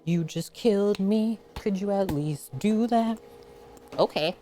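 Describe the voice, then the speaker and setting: A woman's voice: medium pitch, slow, warm tone, soft background music. A woman hosting a casual home cooking livestream, chatting as she cooks and answering viewer comments. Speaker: cooking show host. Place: home kitchen.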